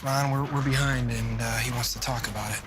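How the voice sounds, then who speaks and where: An actor playing a troubled, stubborn, devout business owner in a film drama. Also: male voice, medium pitch, steady tone, brisk, very noisy, lightly acted.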